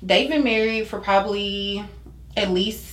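Young american woman podcast guest, candid casual advice talk, medium pitch, echoey room. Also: measured pace. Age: young adult. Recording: roomy, echoey sound.

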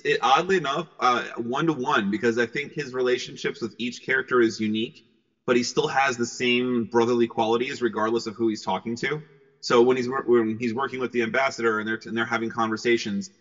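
Bright, cheerful man in muffled reverb. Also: medium pitch, a little fast. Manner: cheerful, excitable. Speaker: voice actor being interviewed. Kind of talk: casual interview answers. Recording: muffled, long hall-like reverb.

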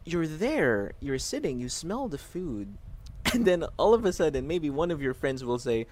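A man's voice: on the high side, very animated, normal speed, a little mellow, a little hiss or hum. A man hosting a casual podcast chat, laughing often and slipping into playful quoted asides. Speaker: podcast host.

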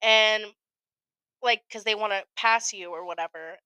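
Bright-voiced young American woman telling stories. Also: mid-range voice, a little slow, clear, resonant tone, no echo. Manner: animated retelling with acted-out quotes, frequent profanity. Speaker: podcast co-host. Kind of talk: casual chat, storytelling.

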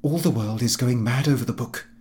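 A man voicing an overbearing lady houseguest in a comic novel, sharp and insistent. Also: mid-range voice, brisk, lightly acted. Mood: surprised.

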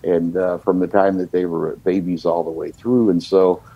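An older man from the United States, a singer-songwriter guest, telling his story in a relaxed, reflective way with many "you know" fillers. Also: low voice, normal speed, creaky, slightly rough, no echo, dull audio.

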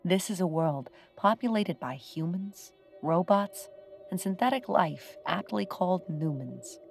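Low-voiced woman, wry narrator. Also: animated, unhurried, vocal fry. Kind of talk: scripted narration. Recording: faint music behind the voice.

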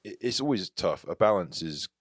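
A low-pitched English man in his thirties speaks earnestly and reflectively as a guest in a relaxed interview. His voice is close and dry, with no room sound around it. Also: normal speed, dry recording. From England. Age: 30s. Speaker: podcast guest. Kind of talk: casual interview talk.

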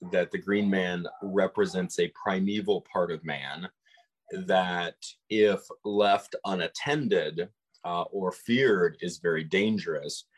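Deep, husky-voiced man. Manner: measured, thoughtful, frequent restarts. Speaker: podcast co-host. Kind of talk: conversational discussion of folklore.

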